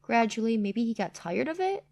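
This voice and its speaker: A woman's voice: on the high side, sing-song, moderate pace, darkish tone. A woman reading a scripted first-person family drama as a story narrator.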